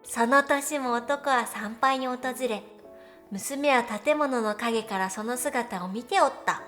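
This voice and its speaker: An ancient cat goddess, acted out by a woman, with a high, girlish pitch and a haughty, old-fashioned way of speaking. She delivers a dramatic storytelling monologue, grumbling yet fond, with music playing softly behind her. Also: slow pace. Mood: exasperated, fond. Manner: haughty, archaic old-fashioned lordly speech. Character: proud thousand-year-old female cat god, grumbling but fond. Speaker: virtual streamer in character.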